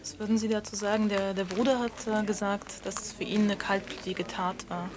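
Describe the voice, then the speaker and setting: An actress playing a careful interviewer in a naturalistic audio drama, with halting, tentative questions. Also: female voice, mid-range voice, fairly even intonation, noisy background, close to an everyday voice. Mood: careful probing. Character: careful, hesitant woman interviewer questioning murder witnesses.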